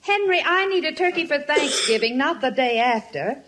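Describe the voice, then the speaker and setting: A woman's voice: high voice, expressive, normal speed, fairly bright tone, slight background noise. An actress playing a firm, genteel middle-aged American mother in old-time radio comedy, precise and coaxing.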